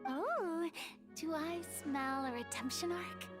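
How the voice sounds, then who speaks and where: An actress voicing an excitable, theatrical young woman with sweeping dramatic inflections, in a video game. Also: high voice, takes it slowly, music in the background. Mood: excited.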